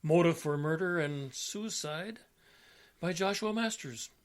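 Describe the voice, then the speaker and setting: A man's voice: fairly high pitch, unhurried. A man playing an opinionated, folksy police lieutenant in an old-time radio drama, arguing his case emphatically. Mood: argumentative. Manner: emphatic, colloquial, argumentative.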